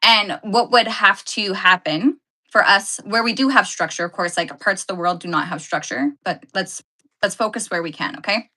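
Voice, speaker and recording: A woman's voice: medium pitch, moderate pace, bright, forceful tone, low-quality recording. A woman hosting a casual live stream, chatting with viewers and answering their comments, laughing often and correcting herself as she goes. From Canada.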